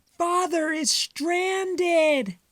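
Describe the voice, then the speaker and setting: Man putting on high-pitched excited little mouse kids. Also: no echo, exaggerated voice. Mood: alarmed. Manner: high, exclaiming, drawn-out calls. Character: excited little mouse children, eager and urgent. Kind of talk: storybook read-aloud character voice.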